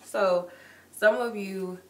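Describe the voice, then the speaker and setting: A woman's voice: mid-range voice, steady tone, takes it slowly, fairly bright tone. A woman with a cold and a stuffy nose, giving a casual live-streamed tarot reading in a chatty, loose way full of likes and ums. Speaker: tarot reader. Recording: echoey room with noise.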